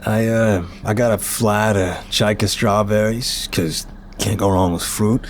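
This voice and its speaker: A man's voice: lowish voice, steady tone, measured pace, slight background noise, lightly acted. A man playing an apologetic, nervous but charming mafia boss in an ASMR roleplay, chatty, wry and flirtatious. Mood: earnest, playful.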